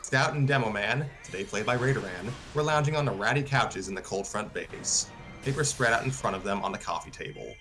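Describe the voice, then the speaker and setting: A man's voice: fairly low pitch, expressive, brisk, fairly bright tone. A man narrating a scripted story as host of a live stream reading. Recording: music behind the voice.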